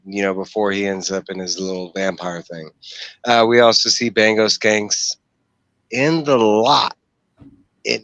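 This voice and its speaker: A man with a low voice hosts an informal book discussion. He explains as he goes and poses questions to the others. The headset sound is dry, with very little room in it. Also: fairly bright tone, dry recording. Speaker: host of a book discussion stream. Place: small room at home, video call. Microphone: headset microphone.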